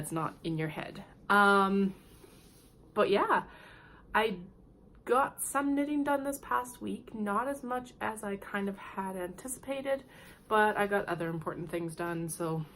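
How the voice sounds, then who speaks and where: A woman hosting a knitting podcast, sick and nasal, chatting in a rambling, friendly way and clearing her throat now and then. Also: fairly low pitch, a bit bright, low-quality recording. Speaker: podcast host. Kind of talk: casual knitting podcast chat.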